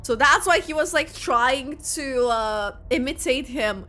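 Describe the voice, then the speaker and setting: A woman giving casual, excitable live commentary as she reacts to a video game. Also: high voice, unhurried. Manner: excitable, exclamatory. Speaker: gaming content creator reacting to a playthrough. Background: game music.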